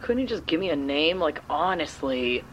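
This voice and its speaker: Lively, blunt woman sidekick, voiced by an actress. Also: sits low, normal speed, a little hiss or hum, rough recording. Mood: blunt, disgusted. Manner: casual, sarcastic, blunt. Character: blunt, playful sister running a superhero's comms. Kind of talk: audio drama dialogue.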